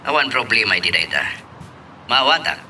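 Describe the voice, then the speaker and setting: An actor playing an obliging boss in an Ilocano radio drama, with an Ilocano accent. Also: male voice, medium pitch, big pitch swings, a little fast, pushed and bright, a little hiss or hum. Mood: friendly, reassuring. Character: obliging male boss on a phone call.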